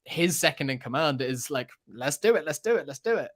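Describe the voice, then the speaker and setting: A man's voice: fairly high pitch, lively intonation, fairly quick, fairly bright tone. Casual, enthusiastic male podcast co-host. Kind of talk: casual chat.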